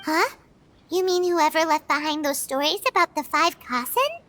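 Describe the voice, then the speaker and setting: A woman voicing a small, cheerful, chatty childlike companion creature with a squeaky voice in a video game. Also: animated, moderate pace, fairly bright tone, slight background noise. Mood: curious.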